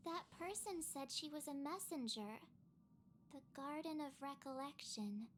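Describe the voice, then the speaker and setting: A young game heroine with a high, girlish voice. She speaks expressively at a relaxed pace, earnest and puzzled, with faint noise underneath. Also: a little slow. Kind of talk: scripted game voice acting.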